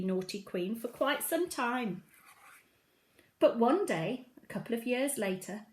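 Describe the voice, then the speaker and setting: Female primary-school storyteller: high voice, swooping intonation, expressive and emphatic children's read-aloud. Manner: dramatic, emphatic storytelling with drawn-out key phrases.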